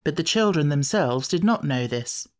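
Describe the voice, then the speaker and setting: A woman narrates a children's storybook. Her voice sits low, and she reads from a script as an audiobook narrator. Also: lively intonation, normal speed, a little mellow.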